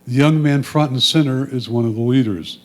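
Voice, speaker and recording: A man's voice: mid-range voice, a little fast, darkish tone. A man reading photo descriptions aloud in a plain, matter-of-fact way. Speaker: reader of photo captions at a photo club presentation.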